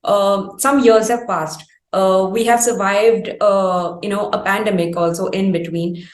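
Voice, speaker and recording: A woman with an Indian accent interviewing at length, phrasing her questions in a wordy, halting, reflective way. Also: medium pitch, steady tone. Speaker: interviewer, host. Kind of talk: conversational long-form music interview. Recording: echoey, roomy sound.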